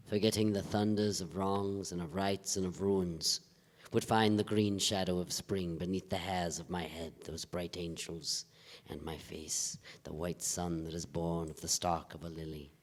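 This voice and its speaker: An actor playing a somber, anguished man who speaks gravely and haltingly in a live staged play reading. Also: deep voice, fairly even intonation. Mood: solemn, poetic. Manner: grave, halting, emotionally pressing. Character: Joe, somber, anguished divorce investigator bearing bad news. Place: theatre stage before a live audience. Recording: live theatre stage, long hall reverb.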